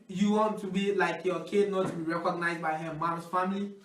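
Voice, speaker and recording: High-pitched African-accented man debating in echoey room. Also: flat delivery, fairly quick, a bit bright. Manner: argumentative, interjecting. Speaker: talk show panelist. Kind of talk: casual debate. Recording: echoey room, distant microphone.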